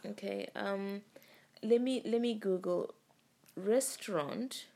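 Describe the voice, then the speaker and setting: Sweary, gravelly-voiced woman podcaster. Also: medium pitch, takes it slowly, dark tone. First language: not English. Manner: sweary, rambling, laughs often. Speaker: podcast co-host. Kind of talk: casual tipsy chat. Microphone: home recording, lying down.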